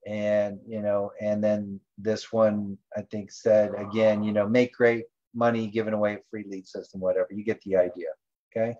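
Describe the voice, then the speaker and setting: A man's voice: fairly low pitch, flat delivery, moderate pace, mellow. A man hosting an online training call, talking through a screen share in an informal, rambling way as he thinks aloud, with lo-fi call audio. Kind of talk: casual screen-share presentation.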